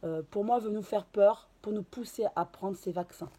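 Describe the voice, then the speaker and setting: A woman with a hoarse voice from a cold, giving a casual card reading and channeling, with many hesitations and restarts. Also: medium pitch, moderate pace, dark tone, slight background noise. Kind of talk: casual tarot reading to camera.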